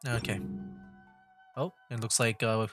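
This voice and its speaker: A man doing casual livestream gameplay commentary, joking and thinking out loud. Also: medium pitch, level tone, unhurried, over music. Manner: joking, chatty, thinks aloud. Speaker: game streamer.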